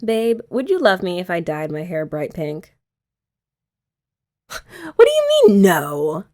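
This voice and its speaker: A woman's voice: fairly low pitch, wildly swooping pitch, slow pace, dark tone. A young woman doing girlfriend roleplay ASMR, chatty and teasing with rambling asides. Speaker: ASMR creator.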